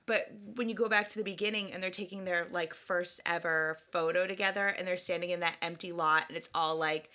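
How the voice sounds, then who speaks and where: Giggly, bright-voiced woman podcast co-host. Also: fairly low pitch, steady tone, fairly quick, few pauses. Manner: giggly, chatty, restarts a lot. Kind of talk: casual chat.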